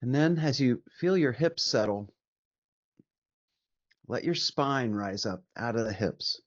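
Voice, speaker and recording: A man leading a guided meditation as a teacher, speaking gently and soothingly with long pauses between short instructions. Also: mid-range voice, expressive, dry recording, muffled recording.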